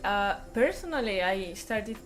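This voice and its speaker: A woman chats casually with her co-hosts, her speech full of "like" and "uh". Her pitch sits in the middle of the range, and soft music runs underneath. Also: lively intonation, unhurried. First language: Italian. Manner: chatty, frequent "like" and "uh". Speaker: co-host of a language stream. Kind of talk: casual discussion on a live stream. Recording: faint music behind the talk.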